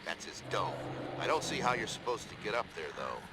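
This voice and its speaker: Animated, stern military commander. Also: male voice, on the low side, fairly quick. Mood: grave briefing. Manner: clipped orders and briefing phrasing. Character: stern male military commander, forceful and driven. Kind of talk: video game character acting. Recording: noisy background behind the voice.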